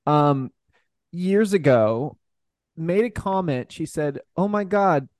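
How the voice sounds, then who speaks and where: A man's voice: fairly high pitch, lively intonation, slow, darkish tone, dry recording, clean studio sound. A man co-hosting a podcast, chatting casually and jokingly. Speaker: podcast host.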